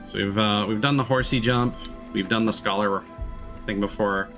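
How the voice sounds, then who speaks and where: Male game streamer, lowish voice, casual rambling commentary, game music in background. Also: fairly even intonation, normal speed. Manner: rambling, musing. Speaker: streamer playing a video game.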